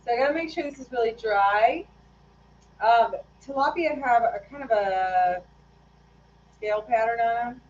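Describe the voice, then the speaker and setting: A woman chatting casually on a live stream as she paints fishing lures, rambling and hesitant with lots of fillers, her voice a bit hoarse. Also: fairly high pitch, moderate pace, pauses often, dull audio, slight background noise. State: slightly hoarse after losing her voice. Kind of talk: casual live-stream chat while working.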